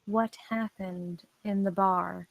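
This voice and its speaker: A woman playing a steady, worried police officer and loyal friend in a screenplay table read. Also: mid-range voice, takes it slowly, creaky, no echo, lo-fi sound. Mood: concerned, probing.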